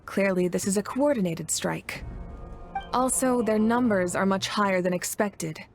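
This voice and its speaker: A woman voicing a composed, caring war leader in a video game, speaking in a measured, formal way. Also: medium pitch, soft background music, close to an everyday voice. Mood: serious, resolute.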